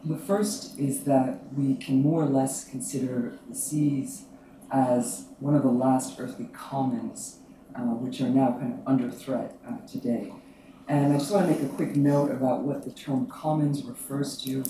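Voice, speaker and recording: A woman with a low voice giving an academic presentation, soft-spoken with many ums as she tries to project. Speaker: academic panelist. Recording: roomy, distant mic, some noise.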